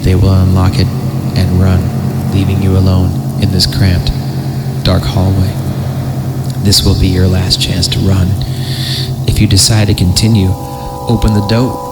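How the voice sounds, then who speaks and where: A man's voice: sits low, completely flat delivery, measured pace, pauses often, very noisy. A man hosting a horror story podcast, narrating theatrically and breaking off for joking asides when he stumbles. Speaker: podcast host reading horror stories.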